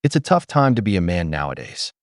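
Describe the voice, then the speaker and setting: A man's voice: low-pitched, sing-song, brisk, slight background noise. A man giving opinionated voice-over commentary that criticizes what he is reacting to.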